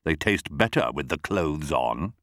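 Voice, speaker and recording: A man voicing an enormous, sly, boastful crocodile in a children's storybook, with a slow, drawn-out, gloating delivery. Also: rumbling low, expressive, fairly bright tone, dry recording, clean studio sound, pitched below the performer's own voice, exaggerated voice. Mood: gloating, menacing.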